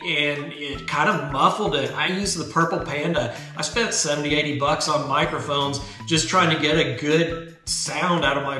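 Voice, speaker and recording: A man in his fifties hosting a motorcycle vlog channel, explaining a helmet setup in a relaxed, chatty, self-deprecating way at a desk indoors. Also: fairly high pitch, roomy sound. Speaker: motovlog channel host. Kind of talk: casual gear tutorial. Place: indoor garage studio.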